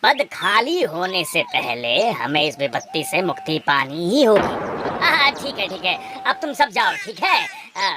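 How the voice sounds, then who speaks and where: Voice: medium pitch, very animated, measured pace, noisy background. A performer voicing a sly, scheming royal minister in a cartoon, muttering and calculating. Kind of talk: exaggerated Hindi cartoon story acting. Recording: music behind the voice.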